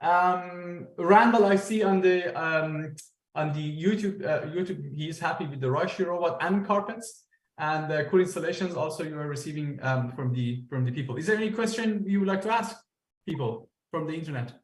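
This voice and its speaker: A man's voice: a little high, moderate pace. Chatty man hosting an echoey video call. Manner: friendly, laughs often, reads chat aloud. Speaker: meetup host.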